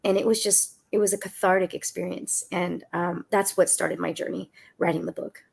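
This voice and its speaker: A woman tells stories from her own life in an easy, conversational way, laughing often. She sounds a little congested from a cold. Her voice has a gravelly, creaky texture. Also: on the low side, steady tone, normal speed. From Southern California. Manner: laughs often, warm and storytelling. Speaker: author guest. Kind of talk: conversational book talk.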